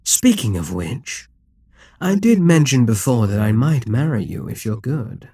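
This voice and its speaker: Guy doing a smug demon king for asmr, dark mellow husky voice, really swoops around, coaxing and theatrical. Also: mid-range voice, very animated, a little slow, no echo, clean studio sound. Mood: mocking, seductive. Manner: smug, coaxing, theatrical, often dropping to whispers. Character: dominant, gloating male Demon King toying with a captive hero. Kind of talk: slow, intimate ASMR roleplay acting.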